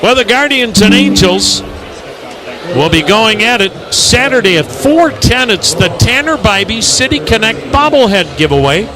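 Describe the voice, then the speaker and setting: Higher-voiced American baseball radio announcer. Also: male voice, very animated, moderate pace, a crowd in the background. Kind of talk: live commentary with conversational asides. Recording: clearly noisy ballpark broadcast.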